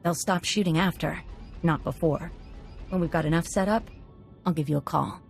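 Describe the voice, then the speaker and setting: A woman's voice: low-pitched, moderate pace, creaky, faint music behind. A woman playing a tough, cocky convict hacker in a game cutscene, confident and matter-of-fact. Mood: confident, businesslike. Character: tough, cocky female convict computer slicer.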